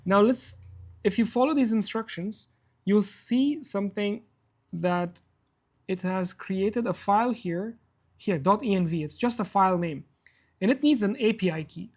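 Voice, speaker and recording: A man's voice: high voice, unhurried, a little mellow. A man whose first language is Persian, hosting a live coding workshop and explaining steps in a casual, self-deprecating way. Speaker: workshop host.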